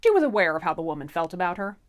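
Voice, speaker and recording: Animated woman telling a sassy fairy tale. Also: lowish voice, racing. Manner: theatrical, irreverent. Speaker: storyteller. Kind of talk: comic, casual, sassy fairy-tale narration.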